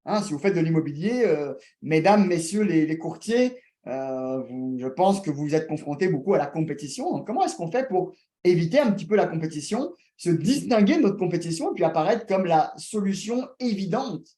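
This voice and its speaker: A man hosting a real estate webinar over a video call, speaking lively and addressing his audience directly with questions. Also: fairly high pitch, normal speed. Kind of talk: presenting to an online audience.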